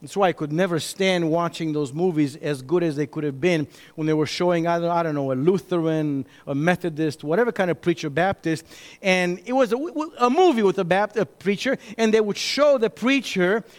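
High-voiced man preaching in an echoing hall. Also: brisk. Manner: emphatic, swings from loud bursts to hushed asides. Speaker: pastor. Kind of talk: sermon. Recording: reverberant hall.

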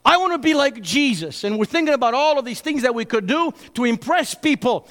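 A pastor preaching a sermon, emphatic, moving between loud bursts and hushed asides. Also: male voice, very high voice, expressive, fairly quick. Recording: reverberant hall.

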